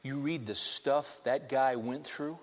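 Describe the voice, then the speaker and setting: A man's voice: medium pitch, fairly even intonation, slow pace. A man in his fifties preaching a sermon, talking conversationally and putting many questions to the congregation. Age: 50s. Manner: conversational, asks the crowd many rhetorical questions. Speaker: pastor. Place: church hall with congregation. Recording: long reverb of a large hall.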